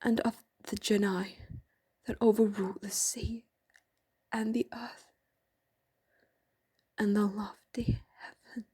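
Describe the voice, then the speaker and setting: A woman narrating an audio drama in a hushed, breathy, near-whispered way. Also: mid-range voice, level tone, slow, long pauses.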